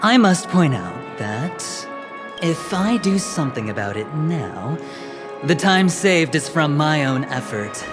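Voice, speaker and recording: A man's voice: on the high side, very animated, takes it slowly. An actor playing a smug, flamboyant young businessman in a video game, with a suave, teasing, theatrical delivery. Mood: amused, calculating. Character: smug, flamboyant young male corporate gambler. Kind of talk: scripted video game dialogue. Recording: music behind the voice.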